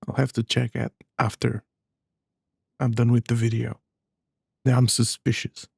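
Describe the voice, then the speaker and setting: A man streams a game with casual, musing remarks. His voice is creaky and husky, with a dark, relaxed tone, recorded close and dry. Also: mid-range voice, measured pace, no echo. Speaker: streamer playing and reacting to a game. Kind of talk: casual gameplay commentary.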